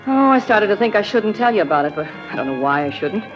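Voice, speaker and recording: A woman's voice: medium pitch, very animated, quick pace, natural voice. Actress as young female con artist in vintage radio drama, coy and confiding, muffled recording, music underneath. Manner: coy, confiding, feigned hesitancy. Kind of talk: old-time radio drama acting.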